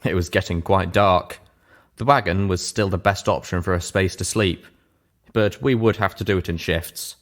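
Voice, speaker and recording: A man's voice: low voice, fairly even intonation, normal speed. A man reading a scripted story aloud as its narrator. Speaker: audiobook reader, narrator.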